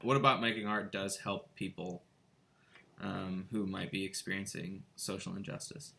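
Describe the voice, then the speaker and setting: Soft-spoken man hosting an interview. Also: low-pitched, fairly even intonation, moderate pace, vocal fry. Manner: soft-spoken, hesitant, with many fillers. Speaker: podcast host. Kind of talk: casual interview.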